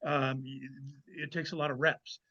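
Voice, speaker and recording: A man's voice: on the high side, level tone, moderate pace, pushed and bright, muffled recording. A man speaking casually as an author guest in an interview, giving emphatic advice and repeating words as he goes.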